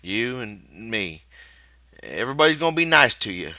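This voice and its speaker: Guy doing a tired ranch worker calming his buddy, folksy talk, bit raised and tense, audiobook. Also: mid-range voice, moderate pace, no echo, voice pushed up, heavily acted. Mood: tender, strained. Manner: rustic folksy speech, reassuring. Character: weary, protective ranch hand soothing his friend.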